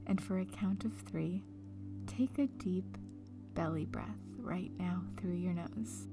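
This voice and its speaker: A woman speaks very softly and breathily, often close to a whisper, as she guides a meditation. Her pace is slow and calm, with long gentle pauses, and clear music plays behind her. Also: fairly low pitch. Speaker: meditation guide, breakup coach.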